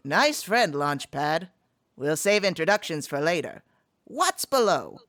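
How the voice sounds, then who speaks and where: Woman as bright, inquisitive cartoon duck. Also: low-pitched, very animated, a little slow, full character voice. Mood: brisk. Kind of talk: live comic dub reading.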